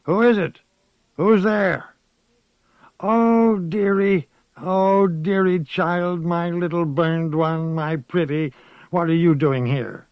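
An old village witch, cursed and afraid, pleads in a high, trembling voice, as a male narrator puts her on. She sounds fragile and desperate. The recording is dry and a little muffled. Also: steady tone, slow, clean tone, no echo. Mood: pleading, frightened. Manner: pleading, quavering.

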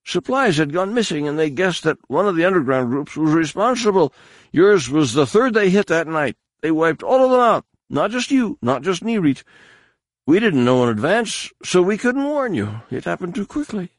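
A man voicing a terrified young male captive who pleads frantically in a high, trembling voice. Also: big pitch swings, normal speed, no room sound, exaggerated voice. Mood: frantic pleading.

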